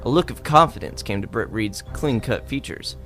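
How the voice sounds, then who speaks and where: A man's voice: medium pitch, expressive, moderate pace, fairly bright tone. A man reading scripted narration for an old-time radio drama. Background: faint music.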